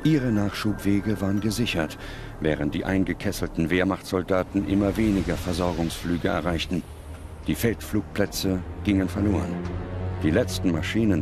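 A man reading scripted documentary narration in a solemn, measured manner with dramatic pauses. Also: sits low. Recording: loud music under the voice. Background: music.

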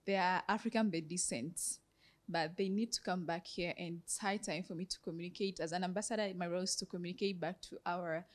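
Low-voiced Tanzanian woman. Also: steady tone, brisk. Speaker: ambassador. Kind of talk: press interview. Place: bright indoor room. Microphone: handheld reporter's microphone.

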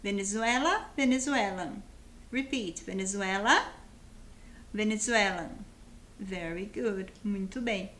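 A woman in her thirties with a Brazilian Portuguese accent, teaching an educational TV lesson in a studio, encouraging viewers and saying English phrases slowly for them to repeat. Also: medium pitch, a bit bright, echoey room. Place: TV studio with screen behind.

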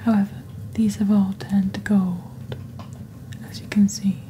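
A young woman speaking softly and breathily in a scripted ASMR roleplay, slow, deliberate and eerily formal, as the host of a shopping channel. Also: medium pitch, little pitch movement, slight background noise. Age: young adult. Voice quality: soft, breathy, whispery. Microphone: close studio microphone on desk.

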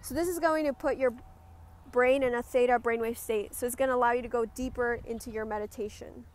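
A woman leading a group guided meditation, giving gentle, instructive cues. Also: on the high side, fairly even intonation, fairly quick. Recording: clear background noise, ambient sound.

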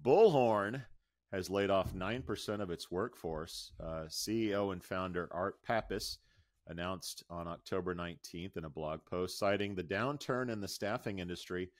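A man's voice: low voice, dry recording. A middle-aged American man co-hosting a podcast, switching between upbeat banter and news reading into a close microphone. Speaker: podcast co-host. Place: indoor room at home, video call.

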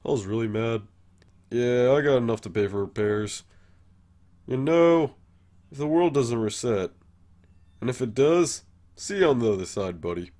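A man's voice: mid-range voice, lively intonation, normal speed, pauses often, dark tone, close to an everyday voice. A man voicing a laid-back skeleton joker with a hidden menace, talking in a casual, wry drawl in a cartoon comic dub. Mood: seething, dry.

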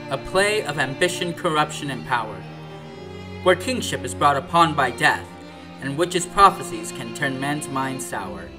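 Higher-pitched male narrator over music. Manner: theatrical, storytelling delivery. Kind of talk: scripted narration for radio drama.

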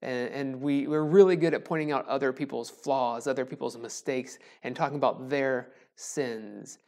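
A man in his forties preaches a recorded sermon from a small church sanctuary. He talks in a relaxed, conversational way, full of jokes and self-deprecating asides. His voice is picked up close on a lapel mic. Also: mid-range voice, normal speed. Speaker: pastor. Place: small church sanctuary with a wooden cross behind. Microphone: lapel mic, camera framed from the chest up.

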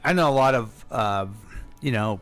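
A man's voice: mid-range voice, moderate pace, a bit bright, faint music behind. American man in casual radio talk. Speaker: radio show co-host.

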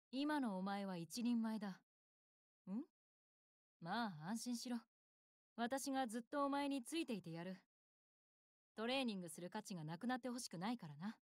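A woman's voice: a little high, expressive, normal speed, long pauses, no echo, studio quality, lightly acted. An actress playing a proud, battle-hungry young warship girl in an anime game, speaking in a blunt, self-assured, tomboyish way. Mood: stern, encouraging. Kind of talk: Japanese anime game voice lines.

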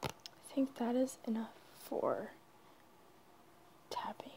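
A woman narrating ASMR in a breathy whisper, halting and informal, on a noisy home recording. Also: a little high, big pitch swings, darkish tone. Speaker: ASMR video creator.

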